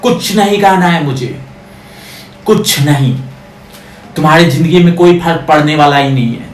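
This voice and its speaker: A man's voice: fairly high pitch, normal speed, natural voice. Man acting a bitter condemned man, echoey and distant. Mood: angry contempt. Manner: scornful, declamatory, repeating words for emphasis. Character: bitter, defiant condemned man facing the gallows, scolding the crowd. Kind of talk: theatrical film monologue. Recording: echoey, distant, noisy audio.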